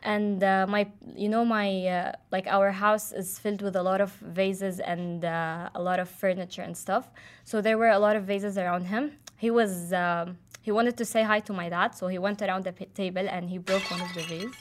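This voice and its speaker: A woman's voice: mid-range voice, steady tone, moderate pace. Chatty young woman telling a story. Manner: chatty storytelling with many fillers and restarts. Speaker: guest telling a personal story. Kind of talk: casual chat.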